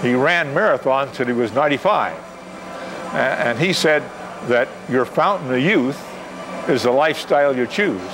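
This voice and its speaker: A man's voice: mid-range voice, animated, moderate pace, over music, rough recording. A man in his late seventies answering interview questions in a loose, rambling way, with many fillers and repeated words. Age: 70s. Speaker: interviewee, senior athlete. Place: gym.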